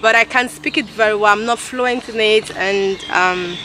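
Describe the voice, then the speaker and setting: A Nigerian woman in her thirties chats to the camera outdoors, pausing and restarting her sentences as she goes. Her Nigerian English accent is clear, and her pitch sits in a middle range. Birds and traffic are heard clearly around her in this noisy park recording. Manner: hesitant, halting, restarts and corrects herself often. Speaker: vlogger. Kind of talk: casual talk to camera.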